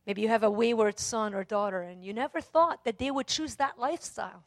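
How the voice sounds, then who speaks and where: A woman with a high voice preaches in a very dry, close sound. She teaches emphatically, leaning on repeated phrases, and shifts between hushed intimacy and forceful declaration. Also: a little fast, dry recording. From Lebanon. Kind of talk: sermon with scripture reading and personal testimony.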